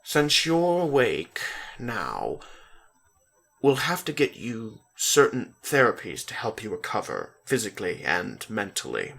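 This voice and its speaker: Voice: medium pitch, big pitch swings, measured pace, vocal fry, a bit bright, exaggerated voice. A reader voicing a female hospital nurse, patient and hesitant, explaining carefully in a dramatic story reading. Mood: businesslike.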